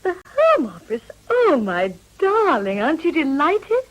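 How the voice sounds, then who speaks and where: High-voiced, genteel English political wife. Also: female voice, huge pitch swings, measured pace, heavily acted, rough recording. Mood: eager. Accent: upper-class English. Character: poised, guarded upper-class young politician's wife. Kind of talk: British period drama acting.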